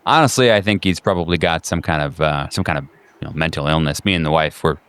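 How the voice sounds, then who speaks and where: Man playing an awkward, rambling suburban neighbor, low voice. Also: quick pace, a bit bright, smooth. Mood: guarded, rambling. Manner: hesitant, rambling, trailing off.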